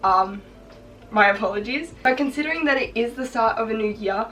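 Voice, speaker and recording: A teenage girl, her voice hoarse and congested, chatting upbeat with lots of "like" fillers as she makes a craft project on her bed. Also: on the high side, fairly quick, a bit bright, far from the mic, slight background noise, poor audio. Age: teens. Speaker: vlogger. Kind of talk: casual chat while crafting. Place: bedroom, sitting on her bed.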